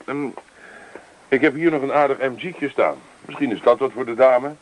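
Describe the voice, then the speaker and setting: An actor playing a folksy small-town car dealer in a Dutch radio play, with chummy, slightly hesitant sales patter. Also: male voice, medium pitch, normal speed, close to an everyday voice, low-quality recording. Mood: hesitant, accommodating.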